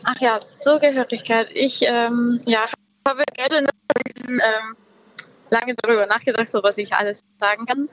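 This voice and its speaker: Young woman, interviewee on a video call, hesitant and thoughtful, somewhat high voice. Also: fairly even intonation, noisy background. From Peru. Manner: reflective, slow, hesitant with many fillers. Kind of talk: casual conversation. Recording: dull lo-fi video call audio.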